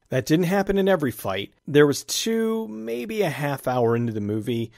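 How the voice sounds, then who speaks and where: Raspy-voiced man reviewing a movie. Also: mid-range voice, a little mellow, no echo, studio quality. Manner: enthusiastic, conversational, casual swearing. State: raspy, nearly losing his voice. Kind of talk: casual spoken movie review.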